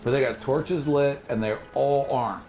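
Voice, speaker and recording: A man's voice: medium pitch, a little slow, dark tone, a little hiss or hum. A man casually narrating and explaining the scene as game master of a tabletop fantasy game.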